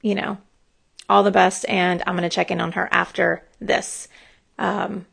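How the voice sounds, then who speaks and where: Gravelly-voiced woman hosting a chatty live stream. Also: medium pitch, level tone, measured pace, fairly bright tone. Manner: chatty, rambling with fillers. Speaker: host and coach. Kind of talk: casual live-stream chat. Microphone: desktop podcast microphone.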